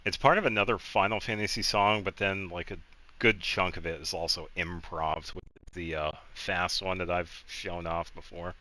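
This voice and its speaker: A man's voice: sits low, steady tone, moderate pace, pushed and bright, rough recording. A man streaming a video game, chatting in a casual, easygoing and rambling way. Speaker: game livestreamer. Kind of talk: casual chat while playing a game.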